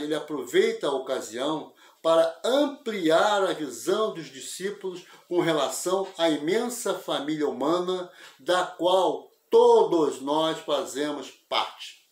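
An older man giving a spiritist lecture over a video call, speaking in a measured, reflective and explanatory way through an earphone mic in a small room at home. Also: on the high side, roomy sound. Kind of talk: religious lecture. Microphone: wired earphone mic on a video call.

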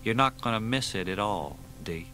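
A wry, unflappable veteran agent talks with a breezy, lilting lift in his voice, as a male narrator plays him. The voice is bright and clear, and a faint hum runs underneath. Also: on the low side, expressive, moderate pace. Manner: wry and easygoing.

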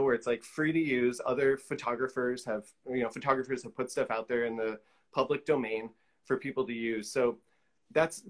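A man's voice: mid-range voice, fairly bright tone. A man in his forties chatting casually as an interview guest, peppering his talk with "you know" asides. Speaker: interview guest, collage artist. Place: small indoor room with pinned pictures, video call.

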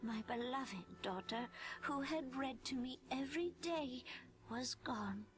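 A woman voicing a gentle, wistful blind old grandmother in a video game, speaking softly and kindly in a measured way. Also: high-pitched.

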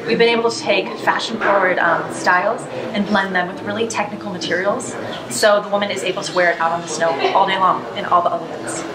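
A young woman talks fast and confidently, walking through product details. She is in a large hotel hall with a roomy echo. Crowd chatter hums behind her. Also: fairly even intonation. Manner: quick, confident product pitch. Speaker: brand representative. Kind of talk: trade show interview. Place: large carpeted hotel hall at a trade show.

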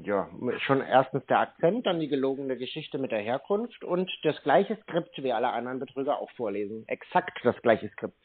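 A man's voice: mid-range voice, very animated, moderate pace, hardly any pauses, breathy edge. A man with a native German accent in a casual, confrontational phone conversation, dismissive, blunt and mocking. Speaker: scam baiter taking a phone call. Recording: thin narrow-band phone audio.

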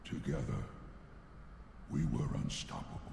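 An actor playing a huge ancient bear spirit in a game, grave and commanding, with an echoing effect. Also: male voice, a little slow, slight background noise. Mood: grave, remembering. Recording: echoey reverb, loud game ambience behind.

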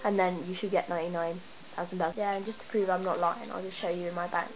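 A young woman with a stuffy cold, chatting and rambling excitedly as she shows off her game town at home. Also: fairly low pitch, quick pace, faint music behind. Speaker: gamer showing her town. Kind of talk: casual game walkthrough. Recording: noisy home camera recording. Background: steady background noise.

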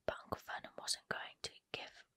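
A woman reading a storybook aloud in a soft, unvoiced ASMR whisper. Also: moderate pace. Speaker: reader.

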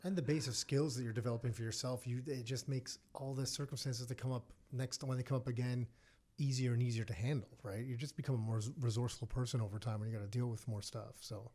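A middle-aged man co-hosting a podcast, quick and chatty with many agreeing yeahs, on a studio mic in an office. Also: mid-range voice, steady tone, dark tone. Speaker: podcast co-host. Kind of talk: podcast interview. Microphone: studio mic on boom, headphones.

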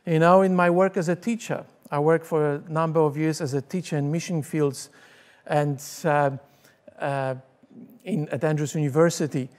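A man's voice: on the high side, steady tone, normal speed, a little mellow. A middle-aged man from Poland with a Polish accent, preaching a sermon in earnest storytelling fashion on a church stage with a lapel mic. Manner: earnest storytelling, gesturing with both hands. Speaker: pastor and teacher. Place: church stage with curtain backdrop.

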